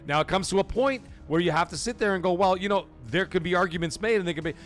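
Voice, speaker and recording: A man hosting a casual live commentary stream, talking to his chat in an animated, profane way full of rhetorical questions. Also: high voice, fast talker, soft background music. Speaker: livestream host.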